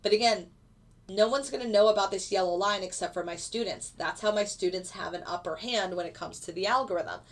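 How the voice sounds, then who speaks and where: A woman's voice: mid-range voice, fairly quick. A woman who teaches trading, casually explaining her settings and walking viewers through a live chart as a trade plays out.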